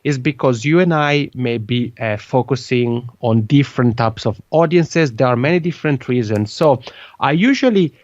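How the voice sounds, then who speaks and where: A man from the Italian-speaking part of Switzerland with a Swiss Italian accent and Italian as his first language, a guest on a podcast. He talks in a lively way, repeating words and restarting phrases often. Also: medium pitch, normal speed, dry recording, lo-fi sound. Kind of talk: conversational interview.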